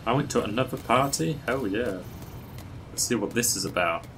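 A man's voice: medium pitch, moderate pace, a little hiss or hum, poor audio. A young man streaming a video game from a small room at home on a headset, casually reacting and chatting as he plays. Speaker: gaming streamer. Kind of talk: casual gameplay commentary. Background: loud game music.

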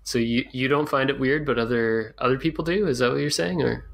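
A man's voice: mid-range voice, fairly quick, gravelly, fairly bright tone. A man hosting a podcast interview, asking questions in a conversational style.

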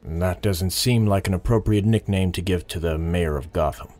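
An actor playing an earnest, guarded, weary police detective in an audio drama, dry and understated. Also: male voice, deep voice, moderate pace, darkish tone, close to an everyday voice. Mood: guarded, respectful. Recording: reverberant hall sound, music behind.

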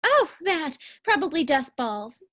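Woman doing a teasing ditzy young wife, high voice, old radio comedy. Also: very animated, unhurried, bright, forceful tone, exaggerated voice. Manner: teasing, coy. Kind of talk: 1940s radio acting.

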